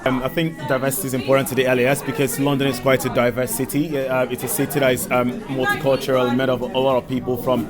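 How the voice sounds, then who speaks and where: A man giving an informal interview answer, pausing often as he finds his words. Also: medium pitch, level tone. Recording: music and crowd noise. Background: music and chatter at busy event.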